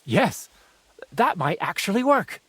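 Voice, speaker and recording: Naive young apprentice, husky and animated. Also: male voice, sits high, takes it slowly, no echo, exaggerated voice. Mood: earnest. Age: young adult. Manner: artless, hesitant when pressed. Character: naive, simple-hearted young craftsman's apprentice. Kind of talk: video game dialogue acting.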